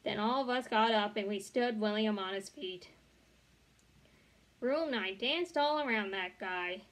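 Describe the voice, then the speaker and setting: A woman's voice: mid-range voice, a little slow, low-quality recording. A young woman reading a children's chapter book aloud expressively, with occasional stumbles and self-corrections. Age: young adult. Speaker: read-along storyteller. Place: small bedroom, indoors.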